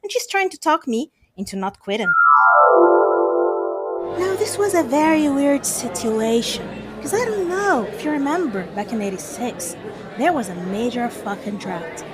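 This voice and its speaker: Animated high-voiced woman playing an undercover cop. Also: unhurried, over loud music. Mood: matter-of-fact. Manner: slangy, profane, exasperated storyteller. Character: male undercover cop rehearsing a foul-mouthed pot dealer's anecdote. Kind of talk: audio drama monologue.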